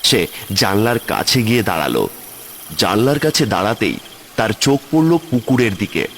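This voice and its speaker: A man's voice: lowish voice, big pitch swings, moderate pace, fairly bright tone, slight background noise. A man giving scripted narration for a cartoon horror story.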